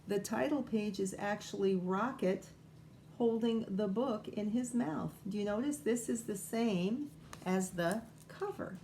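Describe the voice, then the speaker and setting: A woman's voice: medium pitch, unhurried, mellow. Woman teacher reading aloud, noisy background. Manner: thinking aloud, wondering. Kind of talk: children's picture book read-aloud with informal commentary.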